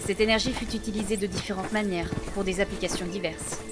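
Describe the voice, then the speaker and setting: A woman playing a proud, solemn female chief of a primitive village clan in a fantasy audio drama, speaking in formal, measured phrases. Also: medium pitch, steady tone, lightly acted. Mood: stately, explaining. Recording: voice under clear background music.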